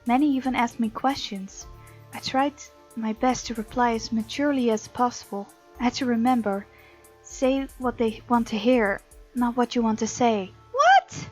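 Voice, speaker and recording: A woman's voice: on the high side, animated, moderate pace, a little mellow, faint music behind. A woman hosting a gaming video, expressively reading game text aloud with casual, playful commentary.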